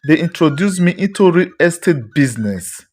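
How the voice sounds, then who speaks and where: A smooth-talking, lovestruck young man acted by a woman in a folktale. The voice is pushed very deep and swings widely in pitch, over soft music. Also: animated, normal speed. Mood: sweet, reassuring. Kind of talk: moral folktale storytelling.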